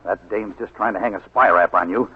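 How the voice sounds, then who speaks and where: A man's voice: lowish voice, brisk, breathy edge. An actor playing a cautious, worried American newsman sidekick in a 1940s radio drama, plain-spoken and slangy. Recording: tinny 1940s radio recording.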